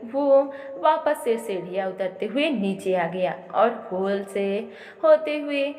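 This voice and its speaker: Slow-paced woman storyteller with heavy echo. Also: medium pitch, expressive, darkish tone, faint music behind. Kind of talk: dramatic audio-story narration. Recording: echoey, long reverb on voice.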